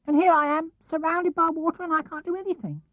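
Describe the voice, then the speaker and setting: A man's voice: extremely high pitch, steady tone, quick pace, a bit bright, dry recording, pitched above the performer's own voice. A man voicing a small, timid, anxious young piglet in a storybook reading, with a plaintive, fretful manner. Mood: anxious, forlorn. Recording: muffled old cassette or vinyl transfer.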